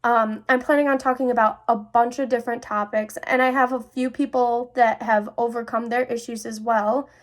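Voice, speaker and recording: A woman's voice: fairly high pitch, steady tone, normal speed, few pauses. A young woman in her twenties speaking casually and personally to viewers as a vlog host, in a reassuring, conversational manner. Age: 20s.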